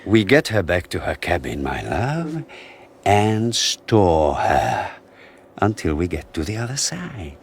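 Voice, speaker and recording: An actor playing a suave, scheming husband in a 1980s radio drama, smooth, smug and mocking. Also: male voice, low voice, very animated, slow, full character voice. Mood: calculating. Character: suave scheming male husband, middle-aged.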